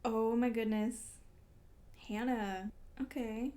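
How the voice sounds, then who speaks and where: A woman with a fairly high voice gives casual, off-the-cuff commentary as she reads. She is gushing and exclamatory, clearly invested in the characters she reacts to. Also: fairly even intonation, slow, mellow. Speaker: manga read-along vlogger.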